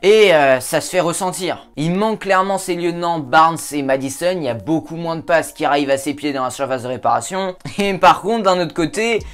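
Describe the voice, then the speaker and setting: A man's voice: a little high, a little fast, few pauses, fairly bright tone, clear, resonant tone. A man hosting a casual football talk show, chatting and joking with viewers, with frequent hesitations. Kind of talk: casual football commentary vlog.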